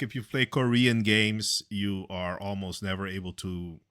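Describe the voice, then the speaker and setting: A man with a very low, deep voice and a bright, forceful edge, talking casually on a podcast. He speaks loudly and with strong opinions. He often hesitates with fillers and restarts his sentences. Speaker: podcast co-host. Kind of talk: casual conversation.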